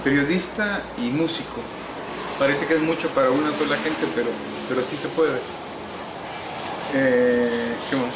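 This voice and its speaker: A Salvadoran man in his sixties, a novelist, answers interview questions in an unhurried, wry way, often pausing on "eh". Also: medium pitch, reverberant, noisy background. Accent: Salvadoran Spanish. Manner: unhurried, wry, frequent "eh" pauses. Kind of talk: relaxed reminiscing interview answers.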